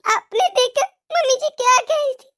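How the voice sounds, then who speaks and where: A young daughter-in-law in a cartoon family tale , acted by a woman, with a tiny, extremely high voice. She speaks respectfully but stands her ground with pointed questions. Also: moderate pace, fairly bright tone. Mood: hurt, indignant. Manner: pleading, argumentative, respectful address. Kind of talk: animated family drama dialogue.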